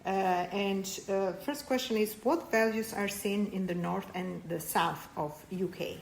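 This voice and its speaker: Halting woman interviewer, gravelly voice. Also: mid-range voice, reverberant, a little hiss or hum. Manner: halting questions, frequent backchannel. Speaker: student interviewer. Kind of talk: interview questions.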